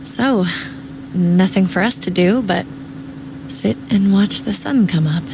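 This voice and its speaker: A woman's voice: mid-range voice, takes it slowly, gravelly, background noise. An actress playing a practical, nostalgic mother in a video game drama, speaking in a casual, understated manner. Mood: idle waiting. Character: practical, nostalgic mother surviving a zombie apocalypse.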